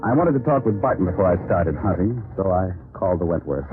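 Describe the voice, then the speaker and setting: Casting: cocky American private eye, low voice, dry wisecracks, vintage radio sound with music. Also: male voice, fast talker. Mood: wry, narrating. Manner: wry, deadpan wisecracks. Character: wisecracking male private detective, cocky, world-weary, flippant. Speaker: lead actor and narrator. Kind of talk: 1940s-50s radio detective acting, hardboiled narration. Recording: muffled old radio recording with music.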